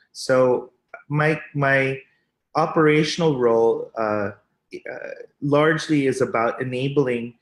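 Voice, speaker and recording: A man speaking as a startup executive on a podcast panel, thoughtful and hesitant, with many filler pauses. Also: mid-range voice. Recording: roomy echo.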